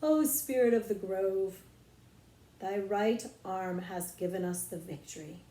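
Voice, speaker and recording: A woman's voice: medium pitch, a little slow, mellow, natural voice. Woman voicing an earnest young country boy, roomy echo. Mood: reverent.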